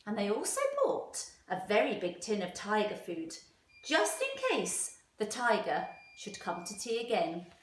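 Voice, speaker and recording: A woman with a high voice reads a picture book aloud as a primary teacher. She is expressive and speaks directly to young children. The sound is roomy, with the microphone some way off. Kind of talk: children's storybook read-aloud. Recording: roomy echo, distant microphone.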